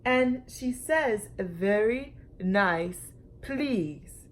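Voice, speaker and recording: A woman's voice: medium pitch, lively intonation, very slow. A woman voicing a friendly, polite male brontosaurus in a storybook. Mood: approving.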